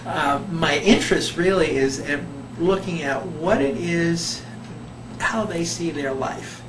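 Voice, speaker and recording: A slow, deliberate older man talks through his thoughts in an interview, his voice pitched a little high. He is recorded from a distance in an echoey room. Steady background noise runs under him. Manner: slow, thoughtful, with many pauses and fillers. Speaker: retired behavior psychologist, volunteer. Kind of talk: interview answers.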